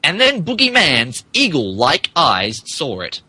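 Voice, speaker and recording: A man's voice: on the high side, huge pitch swings, measured pace, bright, forceful tone, breathy edge, dry recording. A man giving a mock-serious, theatrical comic narration of fan fiction on a podcast.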